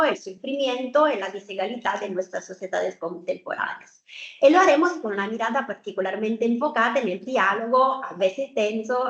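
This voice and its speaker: A woman with an Italian accent delivers an academic talk in a non-native language. Her voice sits a little high and she leans on rhetorical questions and "eh" fillers. The sound is roomy and dull, as in a lo-fi lecture recording. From Italy. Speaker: guest speaker. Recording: echoey room, muffled lo-fi sound.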